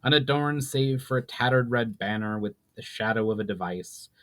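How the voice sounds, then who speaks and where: A man's voice: medium pitch, normal speed. A man reading his own fantasy book as a dramatic audiobook narration.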